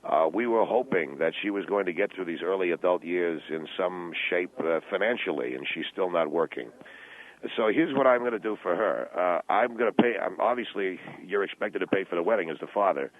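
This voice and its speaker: A man playing a self-justifying middle-aged businessman father, halting and repeating himself defensively on a talk radio comedy call. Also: sits low, animated, pitched below the performer's own voice. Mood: stern, insistent. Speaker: phone-in guest. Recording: narrow, tinny phone line.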